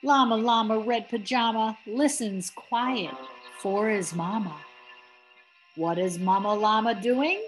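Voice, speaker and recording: Slow storytelling grandmother over music. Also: a little high. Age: middle-aged to older. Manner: deliberate, drawn-out, dramatic emphasis on key words. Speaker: grandmother reading a bedtime story. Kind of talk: expressive children's picture book read-aloud. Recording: music playing behind the voice.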